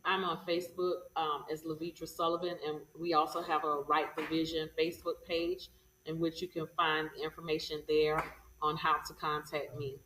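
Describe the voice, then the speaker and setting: A woman giving conversational interview answers as a guest, measured and hopeful in the way she talks. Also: low voice, little pitch movement, a bit bright, slight background noise. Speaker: stage play creator and interview guest. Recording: echoey room, distant microphone.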